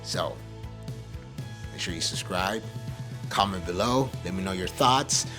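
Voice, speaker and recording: A man's voice: low-pitched, unhurried, music in the background. A man from El Salvador hosting a podcast, speaking casually and motivationally in an earnest, emphatic way. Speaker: podcast host.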